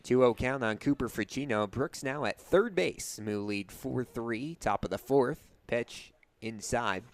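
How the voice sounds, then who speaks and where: A man's voice: medium pitch, a little slow, few pauses. A young man doing live radio play-by-play commentary of a baseball game as the announcer. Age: young adult.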